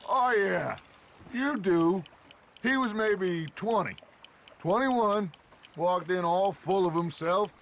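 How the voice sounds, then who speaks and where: A middle-aged outlaw boss from a game. His genial, confident talk is slow and sits high for a man. Water and boat noise is clearly audible behind. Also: fairly bright tone, heavily acted. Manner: genial, assured, expansive. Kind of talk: video game cutscene acting.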